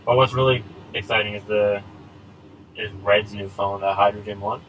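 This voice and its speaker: A man's voice: fairly low pitch, fairly even intonation, normal speed, a bit bright, lo-fi sound, slight background noise. A man in his twenties co-hosting a casual podcast, rambling with plenty of fillers and laughs. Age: 20s. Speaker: podcast co-host. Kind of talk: casual chat. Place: small home setup. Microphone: laptop recording.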